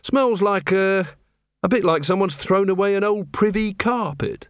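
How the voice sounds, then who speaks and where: A man's voice: high-pitched, unhurried, smooth, no echo, studio quality, pitched above the performer's own voice. A man voicing an earnest, naive young city watchman who talks eagerly and literally.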